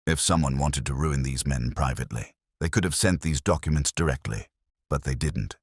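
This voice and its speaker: A man voicing a composed, cryptic senior police inspector in an audiobook-style mystery, speaking in a measured, deliberate way. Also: rumbling low, slightly rough, dry recording, clean studio sound. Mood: musing.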